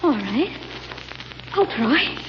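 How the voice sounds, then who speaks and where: A woman's voice: high voice, big pitch swings, extremely slow, heavily acted. A woman playing an anguished, crippled young boy in a 1930s radio drama, pleading breathlessly and tearfully. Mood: fearful, amazed. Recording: muffled, noisy 1930s radio recording.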